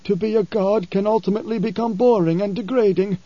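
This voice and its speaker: A man voicing a blind, weary desert preacher who speaks in a solemn, measured way. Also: fairly even intonation, dark tone, no echo, muffled recording. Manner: solemn, measured, raised pitch.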